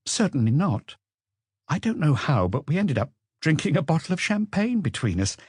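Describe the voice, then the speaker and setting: An audiobook character, an anxious and guarded young woman, played by a male reader. The voice is raised and lilting, with a soft, hesitant way of answering. It sounds close and dry. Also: on the high side, big pitch swings, moderate pace, husky, dry recording, pitched above the performer's own voice, exaggerated voice. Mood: earnest. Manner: hesitant, evasive, soft-spoken.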